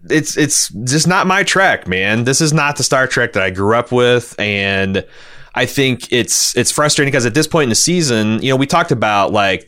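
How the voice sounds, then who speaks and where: A middle-aged American man from Indiana co-hosting a podcast, talking casually and candidly in halting, rambling runs with some swearing. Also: on the low side, bright, forceful tone, clear, resonant tone. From Indiana, United States. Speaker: podcast co-host.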